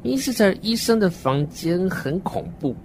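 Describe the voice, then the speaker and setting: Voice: mid-range voice, expressive, a little slow, a little hiss or hum. An adult performer voicing a naive, uneasy, wordy seven-year-old child who narrates a horror radio drama in an earnest, dramatic way.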